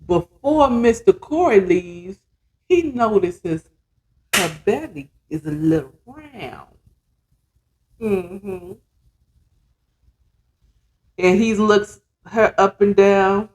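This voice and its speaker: A podcast host with a mid-pitched voice tells a story at a slow, drawn-out pace. The delivery is casual and dramatic, leaning on repeated words for emphasis, with blunt, slangy and sometimes profane commentary. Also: animated. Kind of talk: casual, animated retelling of a story chapter with commentary.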